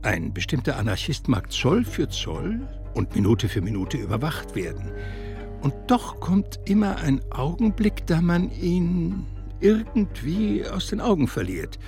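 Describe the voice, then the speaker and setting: A man narrating a literary radio drama in a deliberate, theatrical way. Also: fairly high pitch, huge pitch swings, a little mellow, faint music behind.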